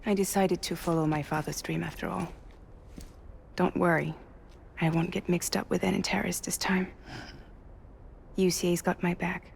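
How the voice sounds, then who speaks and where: A woman playing a resilient, warm-hearted delivery company boss in a video game drama, speaking earnestly and intimately. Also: fairly low pitch, flat delivery, normal speed, creaky, long pauses, slight background noise. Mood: candid. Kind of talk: cinematic video game acting. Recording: music under the voice.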